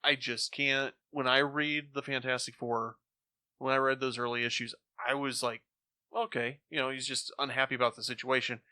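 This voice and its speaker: A man's voice: medium pitch, level tone, moderate pace, bright, forceful tone, smooth, no room sound. A man speaking casually as a podcast panelist, discussing and reviewing. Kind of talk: casual discussion of radio drama.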